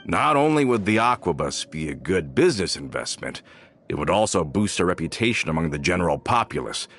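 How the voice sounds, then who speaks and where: A man voicing a game character, explaining past events in an earnest, measured way. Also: sits low, fairly bright tone, a little hiss or hum. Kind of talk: game dialogue.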